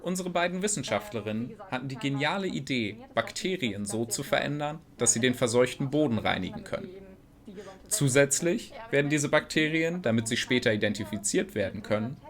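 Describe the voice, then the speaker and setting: A man's voice: medium pitch, expressive, fairly quick. A man reading scripted narration for an educational science film, explaining and storytelling as he goes.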